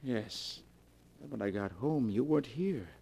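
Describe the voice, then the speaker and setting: Haunted husband, wildly swinging delivery. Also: male voice, mid-range voice, lively intonation, measured pace, creaky, dark tone, slightly rough, exaggerated voice. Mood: shaken, confiding. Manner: melodramatic, anxious. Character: haunted, panicky husband, guilt-ridden and fearful. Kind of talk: 1940s radio drama acting.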